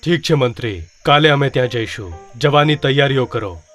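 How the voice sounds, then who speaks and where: A man playing a curious, commanding king in a children's animated story, regal and decisive. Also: medium pitch, brisk, soft background music, natural voice.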